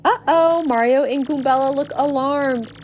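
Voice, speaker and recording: A woman's voice: sits high, moderate pace, a little mellow, soft background music. A woman hosting a game podcast, narrating play like a playful storyteller. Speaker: podcast host and game player.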